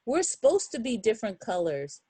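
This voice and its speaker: A woman reading a picture book aloud to young children, expressive and encouraging, with chatty asides and questions to her listeners. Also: fairly high pitch, fairly quick, breathy edge, no echo. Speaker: children's storybook reader.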